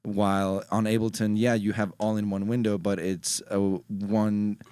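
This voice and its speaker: A man talks casually as a music producer guesting on a podcast. His voice sits fairly low and sounds smooth and clear. He often restates a phrase as he goes, and the studio sound is close and dry. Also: flat delivery, unhurried, dark tone, no room sound. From Ecuador. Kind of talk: casual chat. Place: small indoor podcast studio. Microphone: close studio microphone on a stand.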